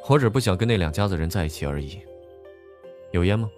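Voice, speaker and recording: Fast-talking bookish young loner played by a man. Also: deep voice, expressive, darkish tone. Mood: flat, resigned. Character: young male student, bookish loner, matter-of-fact. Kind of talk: audio drama dialogue. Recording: music under the voice.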